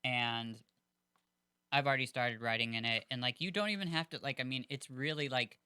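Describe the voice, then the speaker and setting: A man rambles through casual podcast chatter, dropping fillers as he goes. His voice is strikingly bright and smooth, recorded close and dry. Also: medium pitch, moderate pace, no room sound. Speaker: podcast co-host.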